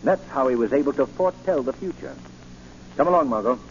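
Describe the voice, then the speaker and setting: A man's voice: mid-range voice, expressive, fast talker, natural voice. Casting: assured society detective, played by a man, articulate, mid-range voice, vintage radio recording with faint noise. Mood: assured, decisive. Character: suave, decisive gentleman amateur detective. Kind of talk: 1940s radio acting. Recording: muffled, lightly noisy 1940 radio recording.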